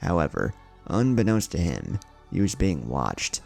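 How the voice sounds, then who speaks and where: Male story narrator, deep and creaky, with lively pitch swings. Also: a little slow, faint music behind. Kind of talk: scripted fan fiction narration.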